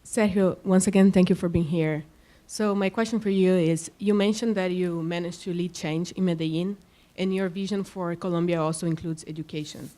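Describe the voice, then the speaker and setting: A woman's voice: fairly low pitch, fairly even intonation, normal speed, mellow. A woman in the audience asking a polite, composed prepared question. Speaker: audience member.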